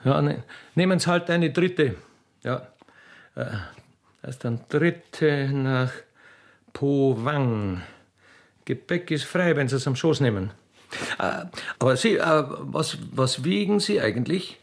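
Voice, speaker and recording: Man as a halting, officious Austrian railway girl. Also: mid-range voice, expressive, creaky. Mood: officious, cheeky. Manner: halting, stammering, pedantic, cheeky. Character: grumpy, officious young country female railway clerk. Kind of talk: comic radio play dialect acting.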